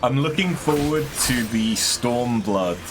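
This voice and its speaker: A man with a fairly deep voice giving casual, hesitant let's-play commentary, throat a bit strained from voicing characters. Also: animated, over music, poor audio. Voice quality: relatively deep voice. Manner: hesitant, many ums, unhurried. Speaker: gaming streamer.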